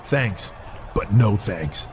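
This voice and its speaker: A man's voice: on the low side, animated, very slow, slight background noise. A man playing a cocky, stubborn pro wrestler in a video game cutscene, blunt and self-assured. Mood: defiant. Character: defiant, cocky male pro wrestler hungry for titles.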